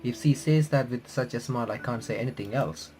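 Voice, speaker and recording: A man's voice: medium pitch, brisk, darkish tone. A man hosting a game playthrough, reading the text aloud with casual reactions and stumbling over words as he goes. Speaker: host of game reaction videos. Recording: faint music behind the voice. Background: game music.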